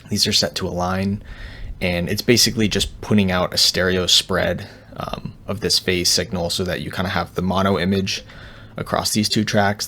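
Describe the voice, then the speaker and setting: A man with a low voice and plenty of gravelly fry walks through technical material as an audio engineer. His delivery is casual and unscripted, in a laid-back tutorial style. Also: sharp tone.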